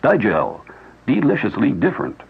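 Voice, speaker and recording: A man's voice: medium pitch, huge pitch swings, normal speed, vocal fry, husky. A male announcer reading an old radio commercial in an earnest, persuasive way. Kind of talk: 1970s radio advertisement read. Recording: tinny old radio broadcast recording.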